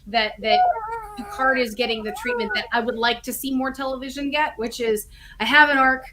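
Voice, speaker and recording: A woman's voice: high voice, animated, brisk, a crowd in the background. A woman in her thirties chatting casually and keenly as a guest on a podcast panel. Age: 30s. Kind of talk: casual, enthusiastic chat.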